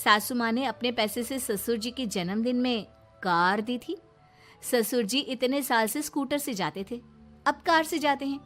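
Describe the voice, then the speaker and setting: Woman playing a high-voiced, outspoken young daughter-in-law. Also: a little slow, fairly bright tone, faint music behind. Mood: pointed politeness. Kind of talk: dramatised moral story.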